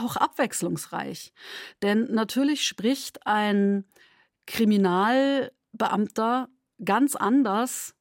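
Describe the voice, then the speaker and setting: A woman's voice: medium pitch, darkish tone, no room sound, studio quality. A woman speaking spontaneously in an interview as a radio dramaturg, explaining in an engaged way.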